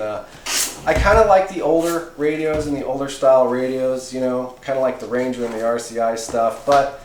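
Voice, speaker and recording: A man's voice: mid-range voice, darkish tone. Echoey middle-aged man, chatty hobby reviewer. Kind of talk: casual unboxing review. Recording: roomy echo, faint background noise.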